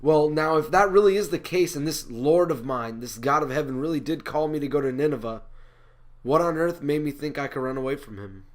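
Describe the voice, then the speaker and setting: A man's voice: fairly high pitch, a little fast, natural voice. A man performing a comic stage monologue as an indignant, self-justifying prophet, with emphatic, declamatory delivery. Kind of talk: rehearsed comic speech monologue.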